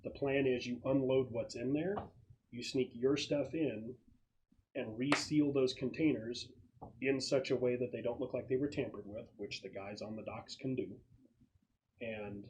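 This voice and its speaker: A man's voice: medium pitch, fairly even intonation, unhurried, a little mellow. A man acting as game master, casually explaining plans to players at a tabletop roleplaying game. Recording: muffled, slightly noisy group recording.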